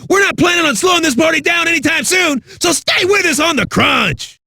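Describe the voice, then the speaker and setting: A foul-mouthed, hyped-up rock radio DJ for a video game. His voice is raspy and very high for a man, with a forceful edge. He swaggers through every line like an aggressive party host, in a close, dry sound. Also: expressive, a little fast, no room sound, exaggerated voice. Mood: rowdy excitement. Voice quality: raspy, gravelly. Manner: aggressive, rowdy, swaggering delivery.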